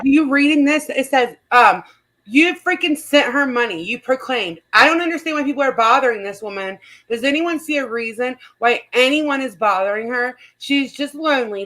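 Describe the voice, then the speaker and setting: A woman's voice: high-pitched, a bit bright, poor audio. A woman hosting a casual group livestream, chatting and reading comments aloud. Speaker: livestream host.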